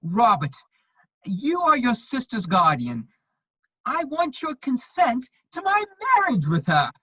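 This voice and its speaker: Persuasive young-hearted dandy, acted by a man, husky, swooping stage delivery, dry and slightly dull recording. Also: extremely high pitch, wildly swooping pitch, slow, fairly bright tone, no room sound, heavily acted. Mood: earnest. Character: witty, loyal, persuasive gentleman bachelor, a son. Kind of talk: theatrical dramatic reading of a period comedy.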